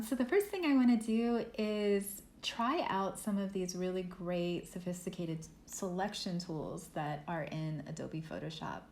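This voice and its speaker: A woman instructor leading an art workshop, walking students through software step by step in a relaxed, thinking-aloud way. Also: medium pitch. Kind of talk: software tutorial lesson. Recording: echoey room with faint noise.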